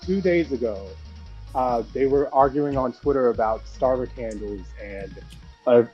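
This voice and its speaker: A man's voice: mid-range voice, measured pace, dull audio, soft background music. A man chatting casually as a guest about starting his small business. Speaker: guest, candle company founder. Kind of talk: casual chat on a livestream.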